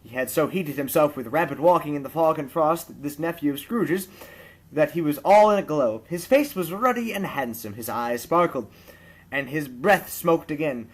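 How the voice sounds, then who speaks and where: A man narrating a classic story aloud as an amateur reader, with expressive, theatrical storytelling. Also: on the high side, moderate pace.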